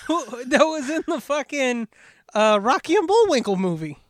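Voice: high voice, big pitch swings, a little slow, no echo. A podcast co-host bantering casually about movies, slangy and foul-mouthed, with a jokingly combative way of talking.

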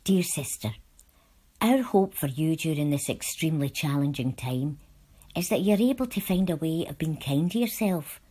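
A woman reading personal letters aloud from a script in a measured, earnest way. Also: low-pitched, expressive, warm tone, slightly rough. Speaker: volunteer reader.

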